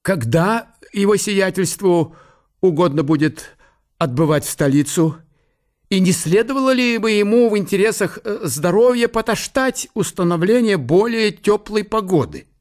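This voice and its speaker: Actor as a high-voiced, obsequious German general. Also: male voice, animated, darkish tone. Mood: nervous politeness. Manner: halting, formal, broken foreign phrasing. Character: deferential German general, stilted, obsequious and eager to please.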